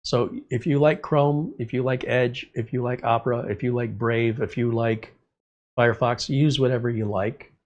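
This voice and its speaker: A man's voice: mid-range voice, steady tone, moderate pace, a little mellow, highs cut off. A man hosting a casual tech livestream, rambling conversationally while a bit congested from a cold. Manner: rambling, conversational, talks through tangents. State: slightly congested, recovering from a cold. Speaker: tech channel host answering live chat. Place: studio.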